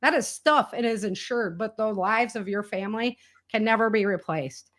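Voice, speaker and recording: A woman with a mid-pitched voice talks as a guest in a casual interview. Her phrasing is colloquial and emphatic. She readily slips into quoting people as part of her spontaneous style. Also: normal speed, a bit bright. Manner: colloquial and emphatic, acts out quoted remarks. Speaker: interview guest, author and podcaster on survival prepping.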